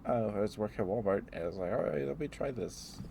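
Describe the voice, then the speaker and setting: A man streaming ASMR, chatting in a halting, rambling way through casual personal stories. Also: lowish voice, lively intonation, vocal fry, dark tone, a little hiss or hum.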